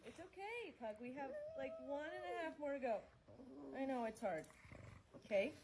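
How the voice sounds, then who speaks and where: A woman's voice: sits high, sing-song, normal speed, a little mellow. A woman working as a dog groomer, talking soothingly to a dog as she handles it. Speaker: dog groomer trimming nails. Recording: noisy clip with animal sounds.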